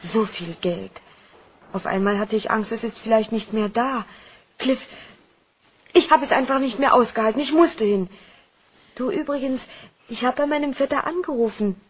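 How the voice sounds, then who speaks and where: An actress playing an anxious, pleading young fiancée and accomplice in a German crime radio play. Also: female voice, on the high side, moderate pace, long pauses, husky. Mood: anxious, defensive.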